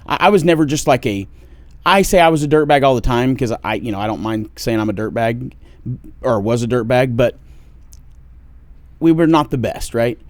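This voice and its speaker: A man's voice: mid-range voice, animated, normal speed, dry recording. 30s guy cohosting, loose and jokey, swears a bit, dry close mic sound. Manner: loose, joking, casual swearing. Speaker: podcast co-host. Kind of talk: casual podcast conversation.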